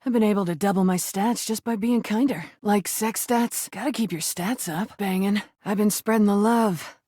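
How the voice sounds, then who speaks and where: Woman voicing brash game duck, sardonic, husky, slangy, punkish attitude, dry sound. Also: mid-range voice, normal speed, dark tone, no room sound, close to an everyday voice. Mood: cocky, begrudgingly warm. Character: brash, sarcastic, crude punk rubber duck, secretly caring.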